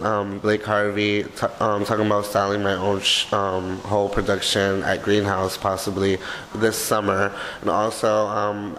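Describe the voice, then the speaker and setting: A man's voice: fairly low pitch, a bit bright, big-room sound, a little hiss or hum, low-quality recording. A man who is a stylist guest, talking casually in an interview and hesitating with lots of fillers.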